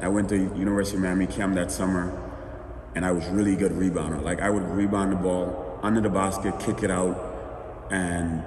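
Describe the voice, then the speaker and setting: A young man tells his story in a low voice, relaxed and rambling. He sounds distant from the mic, and his voice carries a long, roomy reverb. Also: a little fast, a little hiss or hum. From Bahamas. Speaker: guest. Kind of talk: casual interview storytelling. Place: outdoors. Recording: echoey, reverberant, from afar.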